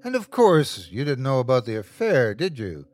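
A man's voice: mid-range voice, huge pitch swings, a little mellow, no echo, studio quality. A man voicing a sadistic, taunting creature in a horror story, savoring every cruel word in a mocking, gloating way. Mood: cruel mockery. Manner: gloating, drawn-out mocking delivery. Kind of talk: horror audiobook character voice.

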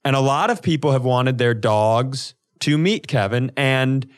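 A man co-hosting a podcast, telling comic stories in a casual, animated, sweary way and acting out quotes. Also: mid-range voice, a little slow. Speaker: podcast co-host. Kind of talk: casual comedic storytelling chat.

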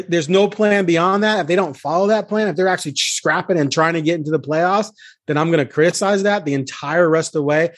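An American man with a slightly high voice talks sports on a podcast in a close, dry sound. He is blunt and emphatic, laying out his opinions like a reporter who is sure of his case. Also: no echo.

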